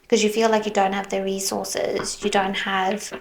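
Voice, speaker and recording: A woman giving a tarot reading, musing softly as she goes and sometimes slipping into a whisper, her voice worn as though she is losing it. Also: medium pitch, monotone, creaky, slight background noise. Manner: slow, soft, musing, drifts into whispers. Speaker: tarot reader.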